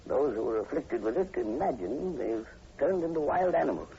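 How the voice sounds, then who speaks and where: Scholarly investigator professor, vintage radio drama. Lively intonation, creaky edge, measured and inquisitive delivery on a muffled old recording. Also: male voice, medium pitch, slight background noise. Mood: grave, explanatory. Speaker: actor in a radio play.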